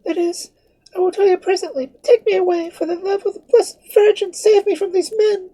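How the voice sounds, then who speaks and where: A man voicing a frightened, breathless young woman who pleads in a high crying voice. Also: steady tone, a little fast, warm tone. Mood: desperate pleading. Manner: breathless high-pitched cry.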